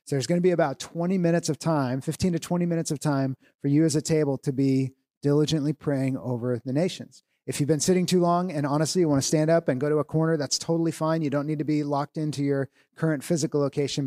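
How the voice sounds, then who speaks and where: A man leading a church family meeting, giving relaxed, joking instructions to tables of people. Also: on the high side, quick pace. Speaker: church leader.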